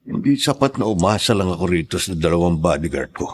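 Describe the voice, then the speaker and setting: A man's voice: sits low, big pitch swings, fast, breathy edge, heavily acted. A man with a Filipino accent playing a scheming, hot-tempered politician in a radio drama, emphatic and theatrical. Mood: brooding, wary.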